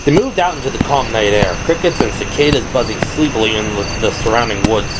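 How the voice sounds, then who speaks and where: A man's voice: medium pitch, expressive, fast. A man reading scripted, dramatic audiobook narration as the narrator of an audio drama. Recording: noisy, night insect ambience behind. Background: crickets and ambient sound effects.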